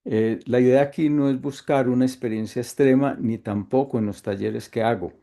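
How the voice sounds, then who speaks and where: A middle-aged Colombian man with a Colombian accent, leading an online workshop in a calm, thoughtful way with frequent hesitations. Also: medium pitch, moderate pace, vocal fry. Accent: Colombian Spanish. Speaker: workshop facilitator. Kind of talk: reflective online workshop talk. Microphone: video call.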